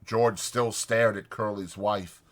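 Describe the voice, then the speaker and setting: A man's voice: on the low side, fairly even intonation, slow pace, a bit bright. A man reading a story as an audiobook narrator, in a dramatic scripted style.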